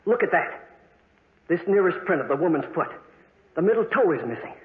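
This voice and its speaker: An actor playing a horrified, agitated heir to a gloomy house in 1940s radio acting style. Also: male voice, high voice, sing-song, a little fast. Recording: noisy, phone-like 1940s radio recording.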